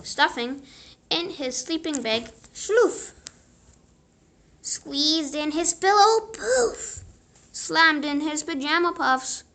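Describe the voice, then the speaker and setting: Female, children's storybook reading, high bright voice, unhurried, punchy sound effects. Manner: punchy sound-effect words, deliberate phrasing.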